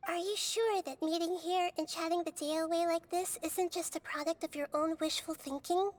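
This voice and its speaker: A calm, wise young goddess. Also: female voice, very high-pitched. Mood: earnest. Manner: soft, measured, thoughtful. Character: gentle young female god, calm and wise.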